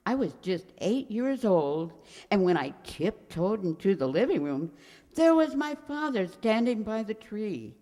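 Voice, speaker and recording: A woman's voice: medium pitch, big pitch swings, measured pace, warm tone. A woman playing a sweet, forgetful elderly landlady who dithers and rambles in a radio comedy. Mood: fondly reminiscing. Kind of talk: radio comedy acting, old-time sitcom revival. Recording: very reverberant hall sound.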